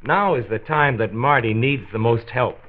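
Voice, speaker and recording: An actor playing a reassuring, earnest county doctor with a measured manner, in a mid-century radio drama. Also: male voice, mid-range voice, slight background noise, natural voice. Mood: reassuring, serious. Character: reassuring, civic-minded male county doctor, a measured persuader. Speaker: health commissioner. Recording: tinny phone-like old radio recording.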